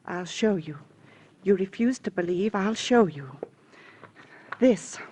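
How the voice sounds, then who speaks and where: A woman's voice: mid-range voice, lively intonation, takes it slowly, mellow, husky. An actress in an old radio drama playing a distraught, insistent middle-aged woman, pleading with theatrical intensity. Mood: distraught, intense. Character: distraught, insistent fifty-two-year-old woman grieving her missing sister. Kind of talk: 1940s-50s radio drama acting. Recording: vintage radio recording with faint hiss.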